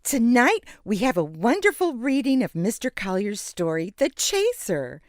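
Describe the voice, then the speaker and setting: A woman's voice: a little high, huge pitch swings, normal speed, no echo, clean studio sound. A woman playing a mad scientist's sardonic, flirty assistant in a comedic audio drama, with a theatrical, sultry delivery. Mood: announcing.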